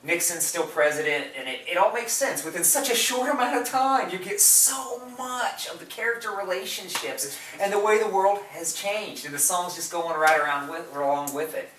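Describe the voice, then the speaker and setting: Male podcast co-host, bright and keen, gushing in casual film chat, picked up from across a roomy space. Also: high-pitched, animated, moderate pace, few pauses. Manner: enthusiastic, gushing. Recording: roomy, distant mic, background noise.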